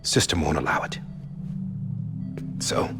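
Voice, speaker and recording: An actor playing a loyal, guilt-ridden soldier in a video game, speaking gravely and deliberately in hushed tones to his former commander. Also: lightly acted. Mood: grave, urgent. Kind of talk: dramatic video game cutscene acting. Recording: music behind the voice. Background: dramatic music.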